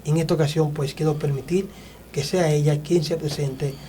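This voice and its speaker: Mellow-voiced male evangelist host. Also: on the high side, steady tone, normal speed, a little hiss or hum. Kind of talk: church interview hosting.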